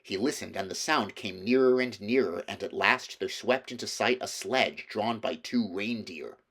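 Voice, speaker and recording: A man's voice: fairly low pitch, fairly bright tone. A man reading a children's novel aloud as scripted storybook narration. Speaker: audiobook reader.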